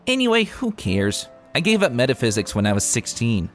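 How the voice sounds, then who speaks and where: A man's voice: mid-range voice, huge pitch swings, fairly quick. A man voicing a brash, playful young female pegasus pony with a breezy, cheeky manner in a fan fiction reading. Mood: joking, animated. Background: music.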